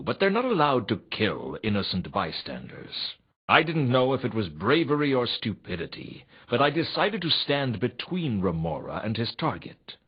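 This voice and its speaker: A hardboiled private eye narrating his case in a video game. He is brooding and wisecracking, with a slightly husky edge and a very mobile, dramatic pitch under the deadpan wit. The audio is a little dull and lo-fi. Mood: brooding, wry. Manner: deadpan, dry, brooding delivery. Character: world-weary, wisecracking male private investigator, hardboiled noir. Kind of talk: scripted noir detective narration for a video game.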